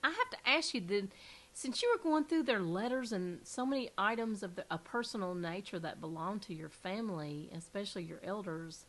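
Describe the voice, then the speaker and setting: A woman hosting an interview, hoarse from a cold. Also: mid-range voice, lively intonation, brisk, a bit bright, poor audio. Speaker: interview host. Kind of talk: casual interview chat.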